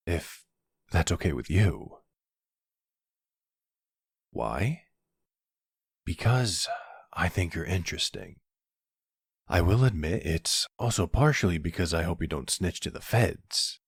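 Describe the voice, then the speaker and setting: Man playing a gravelly, very low-voiced mafia boss. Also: animated, no room sound, studio quality, heavily acted. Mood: calm, admiring, then flirtatious, amused.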